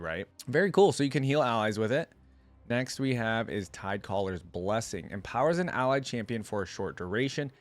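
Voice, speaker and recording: A man hosting a reaction video, talking casually as he thinks out loud and reads text from the screen. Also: fairly low pitch, dry recording.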